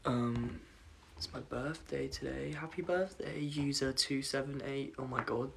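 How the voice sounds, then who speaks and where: Croaky young man chatting on a livestream. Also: medium pitch, steady tone, unhurried, a little hiss or hum. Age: young adult. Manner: chatty, apologetic, scattered, reading comments aloud. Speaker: livestream host. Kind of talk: casual livestream chat. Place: dim bedroom at night, indoors. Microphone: phone camera at arm's length.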